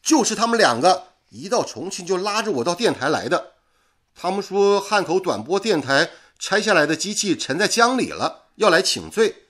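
A man's voice: sits high, fairly bright tone, exaggerated voice. An actor playing a teasing, carefree young village-born man in a radio drama, speaking with playful exclamations. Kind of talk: Mandarin radio drama acting.

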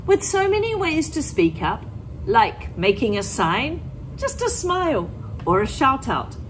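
A middle-aged woman speaks as a primary school teacher, with a highish voice that rises and falls in very lively fashion. She explains simply and instructively, as if to young children, and reads storybook style. Some background noise sits behind her. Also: a little slow, rough recording. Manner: instructive, expressive, plain-worded explaining. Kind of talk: teaching and reading a picture book aloud to children.

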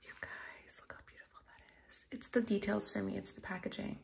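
A young woman, talking in a soft, half-whispered way as she unboxes makeup at home in a casual vlog. Her voice is raspy. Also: medium pitch, fairly even intonation, a little fast. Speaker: vlogger.

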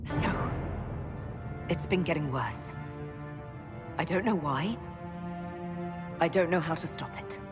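An actress playing a weary but resolute female elf leader who speaks in a quiet, steady manner in a video game. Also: deep voice, slow pace, pauses often. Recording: game audio with music.

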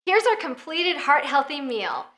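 A woman's voice: sits high, very animated, a little slow, a bit bright, a little hiss or hum. A woman in her thirties with an American accent, explaining a recipe step by step in a conversational way as a cooking show host. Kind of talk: instructional cooking demonstration. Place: home kitchen, indoors. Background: background music.

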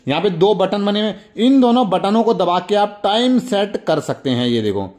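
A man's voice: high-pitched, lively intonation, moderate pace, a little mellow. A man with an Indian accent hosting a casual hands-on toy demonstration, chatty and repetitive, talking straight to the viewers. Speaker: toy review video host.

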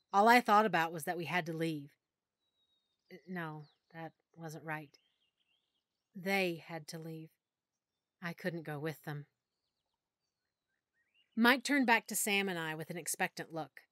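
A woman narrating a first-person audiobook in a soft, emotional storytelling manner. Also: on the low side, unhurried, pauses often, no echo, clean studio sound.

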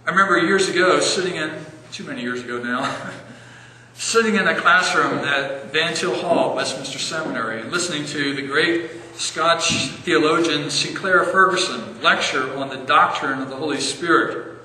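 A man in his forties preaching an earnest sermon as pastor, slowing down to stress key phrases, recorded in a church sanctuary. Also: on the high side, bright, forceful tone, a little hiss or hum. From American. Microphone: stand mic a step away, filmed from far camera. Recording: echoey church hall, distant mic.

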